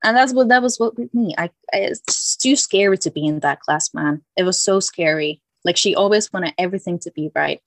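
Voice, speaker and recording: A woman's voice: lowish voice, fairly quick, few pauses, dry recording. A young woman from Finland, chatting casually as a podcast guest, self-deprecating and full of restarts. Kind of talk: casual chat.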